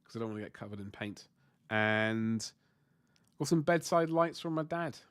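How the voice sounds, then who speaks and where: A man's voice: lowish voice, lively intonation, moderate pace, smooth. A man with a stuffy nose and sore throat from flu, chatting casually with viewers while he paints miniatures, rambling and correcting himself. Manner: rambling, self-correcting, mild swearing. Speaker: livestream host painting miniatures. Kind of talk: casual chat answering viewer comments.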